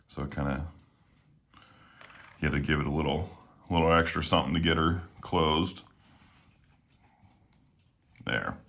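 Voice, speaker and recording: A man casually talking while taking apart and cleaning a knife at home, a little hoarse today, relaxed and rambling with plenty of fillers. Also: very deep voice, unhurried, long pauses. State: slightly hoarse. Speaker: knife reviewer. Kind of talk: casual talk while working. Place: home room with windows.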